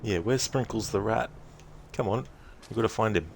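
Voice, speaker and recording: A man's voice: on the low side, normal speed, vocal fry, a little mellow, faint music behind. A man chatting casually to viewers as a game streamer on a livestream.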